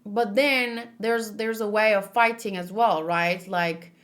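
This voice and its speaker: A woman's voice: medium pitch, fairly even intonation, slow, fairly bright tone. A woman giving casual, informal commentary as a read-along host, thinking aloud and searching for her words as she goes.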